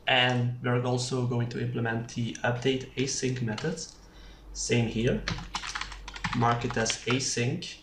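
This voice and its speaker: Slow, gravelly coding tutor in a roomy space. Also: medium pitch, fairly even intonation. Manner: halting, thinking aloud while typing. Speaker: programming tutorial presenter. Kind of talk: unscripted screencast coding tutorial. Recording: roomy, distant mic with clear background noise. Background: keyboard typing and mouse clicks.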